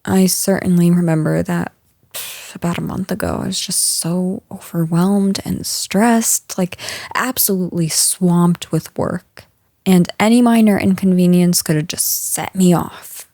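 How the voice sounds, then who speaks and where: A woman playing a caring female boss in an intimate audio roleplay, speaking gently and earnestly with hesitant pauses. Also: lowish voice, fairly even intonation, gravelly, no room sound, studio quality. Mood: apologetic, regretful.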